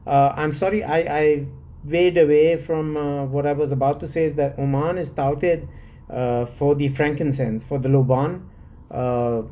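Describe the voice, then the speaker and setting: Man, mellow low-key voice, unhurried, hesitant, many fillers, solo review. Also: steady tone. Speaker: fragrance reviewer.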